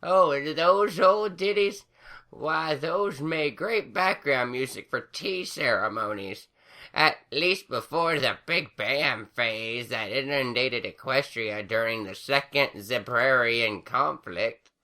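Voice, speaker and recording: A man's voice: medium pitch, slow pace, pushed and bright, voice pushed up. A man voicing an elderly farm mare grandmother with a cracking old-lady voice and a put-on drawl. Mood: folksy.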